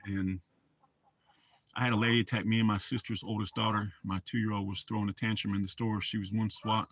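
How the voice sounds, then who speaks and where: A man's voice: on the low side, little pitch movement, fairly quick, fairly bright tone, slight background noise. A middle-aged man hosting a livestream, telling a heated, rambling story full of cursing. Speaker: livestream host. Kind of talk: casual ranting chat.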